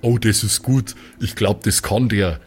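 A man's voice: lowish voice, fairly even intonation, a little slow, breathy edge. A man giving casual improvised comments as a player in a tabletop role-playing game.

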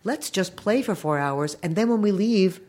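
A woman's voice: mid-range voice, lively intonation, moderate pace, darkish tone. An American woman in her fifties telling anecdotes in a casual interview, speaking with enthusiasm and acting out quotes. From Long Island, New York, United States. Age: 50s. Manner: enthusiastic, effusive, animatedly quoting people in stories. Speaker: interview guest, animation voice director.

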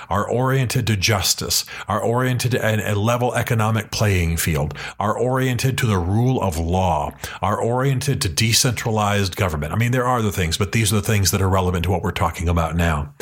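Earnest, husky man. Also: on the low side. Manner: earnest, emphatic, slows down to stress key points. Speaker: podcast host. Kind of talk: passionate podcast monologue. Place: his office.

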